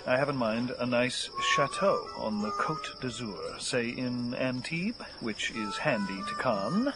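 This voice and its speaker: An actor playing a weary, desperate man on the run in an audio horror drama, halting and theatrical, musing with sardonic wit. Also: medium pitch, lively intonation, over music, natural voice, rough recording. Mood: wry, dreamy. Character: weary desperate male fugitive, rambling, wry, unravelling.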